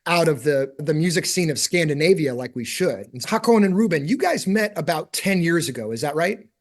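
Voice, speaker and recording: A man's voice: fairly high pitch, expressive, a little fast. A man hosting a music podcast, reading his intro and then chatting eagerly and lightly poking fun at himself with his guests. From United States. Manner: eager, warm, lightly self-deprecating. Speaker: podcast host. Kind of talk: podcast interview with a read-out intro.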